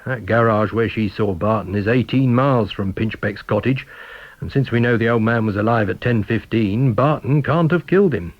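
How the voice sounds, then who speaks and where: A man's voice: on the low side, normal speed, dry recording, muffled recording, natural voice. A man voicing a practical, plain-spoken police inspector with a matter-of-fact, rueful manner.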